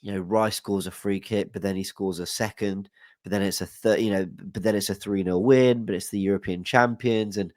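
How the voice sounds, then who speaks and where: A man's voice: lowish voice, steady tone, a little fast, darkish tone, dry recording, studio quality. A young man guesting on a football podcast over a video call, bunged up from hay fever, nervous and rambling, with lots of apologies. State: stuffy nose from hay fever. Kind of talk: casual football podcast chat. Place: home room, video call. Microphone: studio mic with headset.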